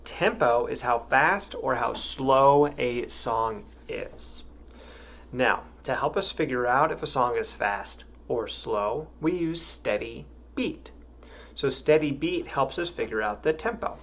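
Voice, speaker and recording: A man's voice: mid-range voice, slow, a bit bright. A man teaching a music lesson to young children, patient and encouraging.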